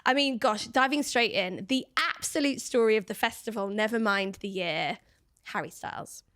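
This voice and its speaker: A woman hosting a showbiz podcast, bubbly and gossipy, firing quick questions. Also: mid-range voice, fairly bright tone. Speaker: podcast host. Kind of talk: casual showbiz chat.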